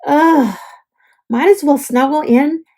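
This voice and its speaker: Woman as a bubbly, high-voiced young oak tree. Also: slow pace, dark tone. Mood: grumpy. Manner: bubbly, theatrical, exclaiming. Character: perky, dramatic, self-admiring young oak tree. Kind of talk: storybook diary read-aloud.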